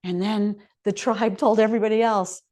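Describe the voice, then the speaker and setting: A woman's voice: medium pitch, steady tone, moderate pace, darkish tone. A woman chef giving a public talk, telling it like a story and asking the audience rhetorical questions. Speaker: chef and speaker. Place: hall of a residential contemplative community.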